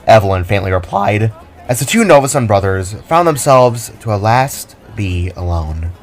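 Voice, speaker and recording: A man's voice: fairly low pitch, sing-song, normal speed. A man reading scripted narration for an audio drama.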